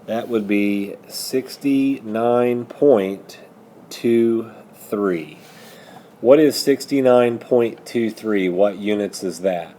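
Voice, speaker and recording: Male algebra teacher, mellow voice, takes his time, asks step by step questions, bit of room noise. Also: on the low side, very slow. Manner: patient, methodical, prompting with questions. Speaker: high school algebra teacher. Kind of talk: classroom math lesson, questioning students step by step.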